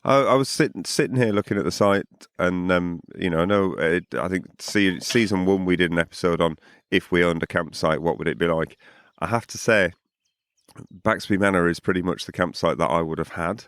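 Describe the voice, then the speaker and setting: A man co-hosting a podcast, chatting casually at a campsite in a morning voice hoarse from drinking, relaxed and rambling, repeating words as he goes. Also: sits low, brisk, dry recording, studio quality. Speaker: podcast co-host. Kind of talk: casual chat outdoors at a campsite.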